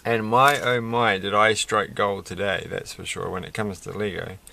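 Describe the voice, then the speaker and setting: A middle-aged man talks in a low, croaky voice with a bright, forward edge. He rambles casually as he builds at a desk, pausing to hunt for words. Faint noise and small clicks sit behind him. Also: fairly even intonation. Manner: rambling, halting, searching for words. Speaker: Lego build video creator. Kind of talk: casual hobby vlog, unscripted commentary while building. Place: indoors at a desk.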